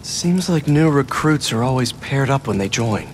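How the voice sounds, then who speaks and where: A man's voice: mid-range voice, expressive, normal speed, noisy background, natural voice. A man acting an earnest, chatty young American superhero in a video game, with music behind him. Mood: wry, focused. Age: young adult. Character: earnest, chatty young male superhero sneaking through a cult hideout.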